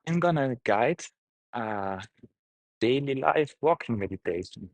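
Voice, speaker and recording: A man guiding a meditation, speaking gently in short, halting phrases with pauses. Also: mid-range voice, lively intonation, dry recording. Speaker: meditation teacher, guide. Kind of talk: guided meditation, unscripted instruction.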